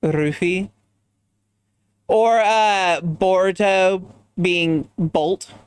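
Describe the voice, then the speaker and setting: A fairly high-voiced man with a smooth, resonant tone, chatting casually while he plays. He rambles between topics and answers viewers in goofy asides. The sound is dry and close, with no room around it. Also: steady tone, drawn-out words, dry recording. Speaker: streamer. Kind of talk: casual chat while gaming.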